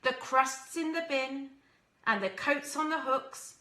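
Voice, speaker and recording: Lady reading kids a story, high lively voice, really expressive, bit echoey room. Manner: animated, deliberate, acts out characters and asks listeners questions. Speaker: teacher reading a story to children. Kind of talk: expressive storybook read-aloud for young children.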